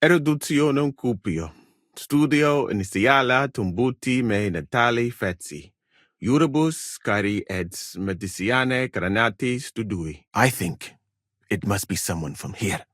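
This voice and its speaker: Husky, expressive learned medieval physician. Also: male voice, medium pitch, no echo, studio quality. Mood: calm, thoughtful. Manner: measured, formal, deliberate phrasing. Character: courteous learned foreign physician in medieval Europe, calm and thoughtful. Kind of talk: video game voice acting.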